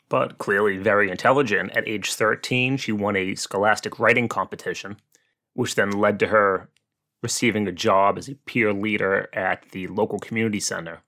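A man with a fairly low voice presents an explainer as the host of his own series. He talks in a measured, explanatory way, mixing prepared commentary with his own opinions and asides. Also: fairly bright tone. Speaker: host of a local politics series.

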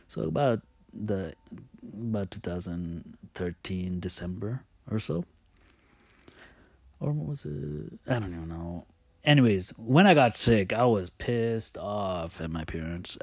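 A man telling personal stories in a casual, confessional way, halting and thinking aloud as he often loses his thread. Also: fairly low pitch, a little mellow, dry recording.

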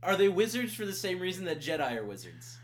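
Man, Oklahoma accent, podcast co-host, profane rants, swooping lively pitch, casual banter. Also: fast. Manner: profane, ranting, animated. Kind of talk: casual chat.

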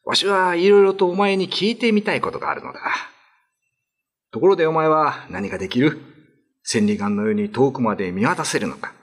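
A man's voice: on the high side, big pitch swings, fairly quick, husky, voice pushed up. A man playing a greedy, foolish, ambitious island lord in a radio drama, with pompous, old-fashioned lordly speech. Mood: pompous, curious.

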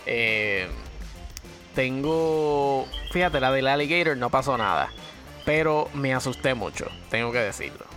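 Slow, hesitant man. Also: mid-range voice, animated, fairly bright tone, faint music behind. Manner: hesitant, many fillers, slow. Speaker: photographer guest. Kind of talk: casual storytelling.

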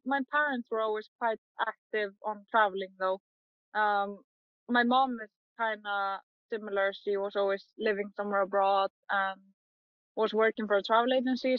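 A young woman photographer chatting in an interview, talking quickly with many fillers and frequent laughs. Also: mid-range voice, no echo, lo-fi sound. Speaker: photographer guest. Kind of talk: casual interview chat.

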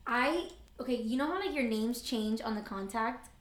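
A young woman in her twenties with a high voice, chatting casually as a co-host. She laughs a lot and drops in the odd Spanish phrase. The room around her rings with echo. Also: expressive, normal speed. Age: 20s. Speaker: podcast co-host. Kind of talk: casual chat.

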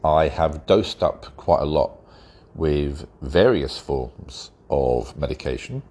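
A man hosting his own podcast, congested and stuffy-nosed from hay fever, talking in a wry, measured way and a bit slowly. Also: rumbling low, lively intonation, darkish tone. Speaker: podcast host. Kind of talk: solo podcast commentary.

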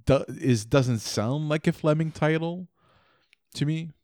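A man's voice: on the high side, a little slow, darkish tone, no echo, studio quality. A man from French Canada, a native French speaker, co-hosting a casual book discussion with stammering restarts. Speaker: podcast co-host.

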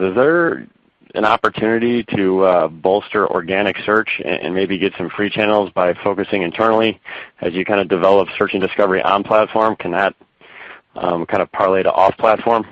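A man's voice: sits low, fairly quick, dry recording. A man speaking as a financial analyst, asking a question in hesitant phrases on an earnings call. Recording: dull, dry conference call audio.

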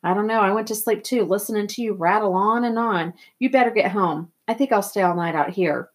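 A woman reading a story as a quiet, loyal teenage boy with a casual, plain-spoken manner. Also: medium pitch, brisk, lightly acted. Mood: drowsy.

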